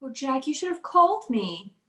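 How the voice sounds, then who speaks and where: A woman's voice: sits high, very animated, moderate pace, fairly bright tone, echoey room. A woman playing a frightened, pleading wife in a radio suspense drama, breathless and faltering. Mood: shaken, evasive. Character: frightened, pleading wife in fear for her life. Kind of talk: old-time radio suspense acting.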